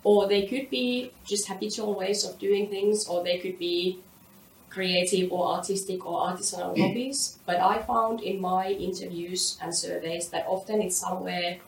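A woman's voice: on the low side, steady tone, moderate pace, gravelly. Woman researcher giving a conference talk, careful and a bit hesitant, roomy distant mic. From Finland. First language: Finnish. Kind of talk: academic presentation, semi-scripted. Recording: echoey room, distant mic, faint noise.

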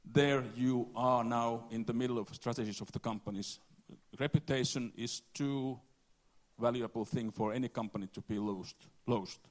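A man giving a conference presentation, halting and repeating words as he goes. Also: mid-range voice, fairly even intonation. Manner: halting, repeats words, non-native phrasing. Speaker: conference speaker.

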